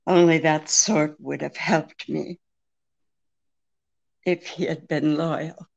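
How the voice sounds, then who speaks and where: Woman acting a slow, creaky, frightened femme fatale. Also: sits low, fairly even intonation, darkish tone, muffled recording, full character voice. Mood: grave, resigned. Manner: halting, hesitant. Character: frightened, evasive femme fatale client pleading for help. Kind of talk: 1940s radio detective drama acting.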